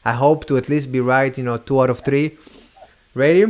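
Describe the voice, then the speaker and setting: A man's voice: mid-range voice, fairly even intonation. Argentinian-accented male host over a video call, playful and teasing, stretches out the reveals. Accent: Argentinian with Hebrew influence. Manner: teasing, upbeat, draws out reveals slowly. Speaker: mentalist show host. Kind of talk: playful live video-call variety show.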